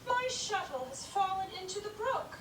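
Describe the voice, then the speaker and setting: A woman voicing a tiny, fretful old male fairy with a long gray beard, speaking in a high, anxious voice. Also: animated, normal speed, a bit bright, roomy sound, far from the mic, slight background noise.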